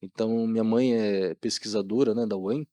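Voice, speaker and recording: A man's voice: fairly low pitch, steady tone, normal speed, mellow, no echo. A middle-aged Brazilian man chatting informally as a podcast guest, using lots of colloquial tags. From Maringá, Brazil. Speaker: guest, doctor. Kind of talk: casual podcast interview talk.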